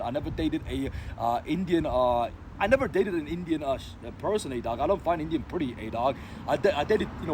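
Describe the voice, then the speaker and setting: Man from Sri Lanka, slightly high voice; rambling slangy talker, recorded outside over loud traffic. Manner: rambling, slang-heavy, many fillers and restarts. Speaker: vlogger. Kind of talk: casual unscripted monologue. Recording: noisy street with passing cars.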